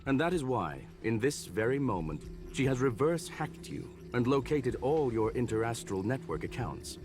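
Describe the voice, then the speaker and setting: An actor playing a courteous, calculating scholarly gentleman in a video game, speaking in a formal, measured, polite way. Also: mid-range voice, lively intonation, music in the background, natural voice. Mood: smug, measured.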